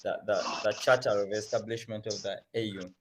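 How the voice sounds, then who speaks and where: A man's voice: fairly low pitch, fairly even intonation, a little fast, heavy background noise. A man hosting an online panel discussion, who stammers at the start of his sentences. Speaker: host.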